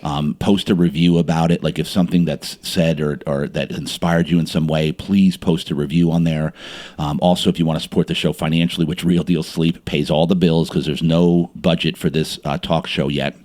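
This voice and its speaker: Super low-voiced guy hosting a podcast, jumpy and chatty, lots of ums. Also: normal speed. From New Jersey. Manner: animated, excitable, repeats words, many fillers. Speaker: podcast host. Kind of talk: casual interview chat with sponsor plug.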